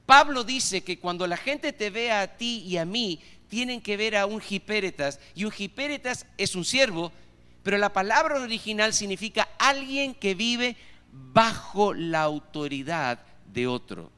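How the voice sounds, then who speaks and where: A man's voice: high-pitched, expressive, unhurried, pushed and bright, clear, resonant tone. A man with an Argentine accent preaching a sermon as pastor, often putting rhetorical questions to the congregation. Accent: Argentine Spanish. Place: church hall. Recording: reverberant church hall.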